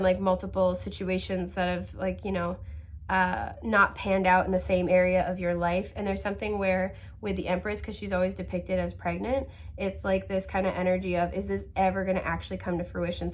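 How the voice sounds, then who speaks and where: Woman, tarot reader, sniffly, mid-pitched voice, chatty, reassuring, soft laughs. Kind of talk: casual pick-a-card tarot reading.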